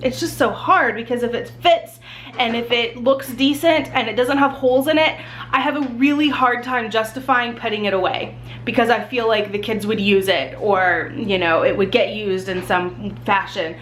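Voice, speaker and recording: A woman's voice: fairly high pitch, moderate pace, a bit bright. A woman talking informally and candidly, as a mother vlogging about daily life in a casual, chatty style. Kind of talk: casual vlog chat.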